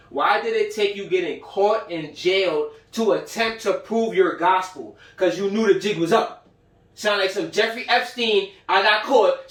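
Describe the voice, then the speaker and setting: An American man with a fairly high voice lectures on a livestream from an echoey room, a bit away from the mic. He talks in heavy slang, swears constantly and drives points home by repeating them emphatically. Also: far from the mic. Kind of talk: informal, profane livestream lecture.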